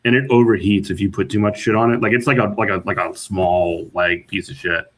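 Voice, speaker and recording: A man's voice: on the low side, fairly even intonation, fairly quick, fairly bright tone. Laid-back swearing male streamer. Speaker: stream co-host. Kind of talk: casual livestream chat. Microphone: laptop setup on the road.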